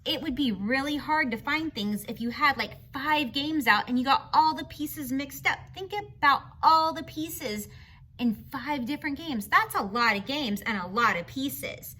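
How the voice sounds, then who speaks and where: A woman reading a picture book aloud to children in an animated, teacherly way, with explanatory asides and questions to young listeners. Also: high voice, unhurried.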